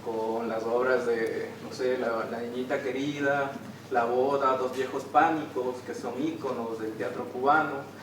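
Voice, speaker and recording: A man's voice: medium pitch, steady tone, measured pace. A man speaking from the audience, putting a hesitant, roundabout question at a public talk. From Ecuador. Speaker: audience member asking a question. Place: indoor theater venue during a public discussion. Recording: very echoey room, distant mic, clear noise.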